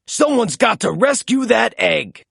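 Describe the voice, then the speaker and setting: A woman's voice: a little high, big pitch swings, measured pace, vocal fry, husky, dry recording, studio quality, pitched above the performer's own voice, exaggerated voice. Woman as sneaky, scheming monster truck villain. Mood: alarmed. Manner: boastful, conniving.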